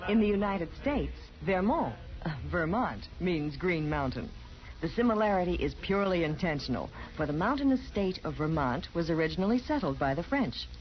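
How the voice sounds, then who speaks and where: A woman's voice: lowish voice, sing-song, normal speed. A woman announcer reading scripted narration on an old radio broadcast. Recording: dull, faintly noisy vintage radio recording.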